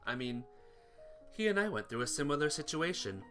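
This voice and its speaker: A man's voice: on the high side, very animated, a little fast, pushed and bright, soft background music. A man voicing an earnest, awkward teenage boy from a visual novel, hesitant and apologetic. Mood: gentle, earnest.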